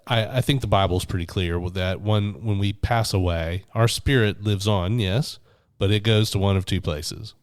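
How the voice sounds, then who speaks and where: Man with a smooth, low voice, getting over flu. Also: dry recording, clean studio sound. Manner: storytelling, thoughtful, with frequent restarts. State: recovering from flu, voice deeper than usual. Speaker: podcast co-host, minister. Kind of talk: casual faith podcast chat.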